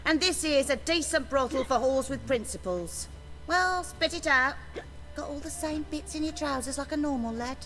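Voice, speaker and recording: An actress playing a brassy, scornful brothel madam in a video game, blunt and mocking. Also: female voice, high-pitched, expressive, moderate pace, a bit bright, long reverb tail, faint music behind, rough recording. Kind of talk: video game character acting.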